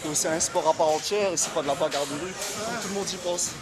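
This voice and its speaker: A man's voice: high-pitched, quick pace, over loud music. A man from Belgium answering casually as an attendee in an interview.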